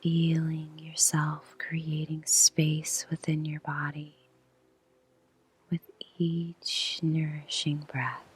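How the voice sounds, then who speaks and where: A woman guides a meditation almost entirely in a whisper. Her voice is deeply breathy and husky, sitting low and nearly flat in pitch. She takes her time, unhurried, with long gentle pauses. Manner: soft whispered delivery; slow, soothing pacing with long pauses.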